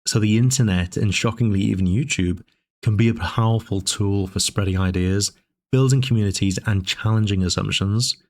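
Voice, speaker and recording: Lower-voiced man hosting a commentary channel. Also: a little mellow. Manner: measured, discursive, with frequent fillers and restarts. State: dry voice, sipping tea. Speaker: political commentary channel host. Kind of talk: casual spoken commentary.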